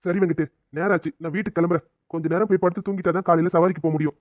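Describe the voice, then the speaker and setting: A warm-hearted, brotherly auto driver, acted by a man, in an animated drama. His voice is on the high side and he fires off his lines very fast. The recording is a bit dull and dry. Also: dry recording, lightly acted. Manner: brisk, big-hearted scolding.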